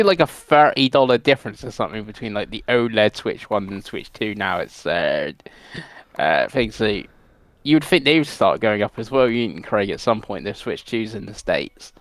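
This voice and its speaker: A man's voice: fairly low pitch, no echo. A man co-hosting a casual gaming podcast, reading out gaming news in a chatty, rambling way. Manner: chatty, rambling delivery with laughs.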